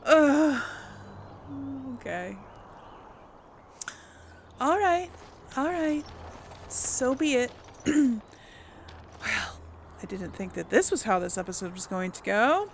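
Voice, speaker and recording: A woman gives informal video game commentary, musing aloud as she plays. Her voice is fairly high and very animated in pitch, though her pace is slow and unhurried. There is some faint noise behind her. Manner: informal thinking aloud to viewers. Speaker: video game let's player. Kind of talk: casual gameplay commentary.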